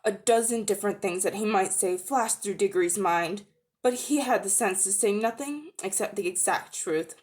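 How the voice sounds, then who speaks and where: A woman narrating a children's story as a dramatic reading, expressive and deliberate. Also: medium pitch. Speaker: audiobook narrator.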